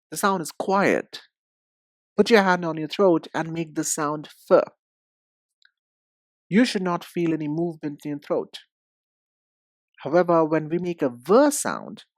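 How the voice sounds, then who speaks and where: A woman giving an instructional pronunciation lesson, speaking deliberately and enunciating with emphasis and pauses. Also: fairly low pitch, no room sound, clean studio sound. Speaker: English pronunciation teacher. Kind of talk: instructional lesson, demonstrating sounds.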